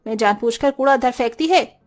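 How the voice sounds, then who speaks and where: A woman's voice: on the high side, fairly quick, faint music behind, voice pushed down, natural voice. A woman voicing a brash, sarcastic housewife who taunts her neighbour in a cartoon story. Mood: accusing.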